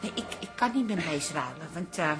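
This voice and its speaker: An actress playing a bossy, brisk wife in a comic radio drama, emphatic. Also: female voice, deep voice, animated, over music. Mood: sly. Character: bossy, brisk wife and daughter running the household.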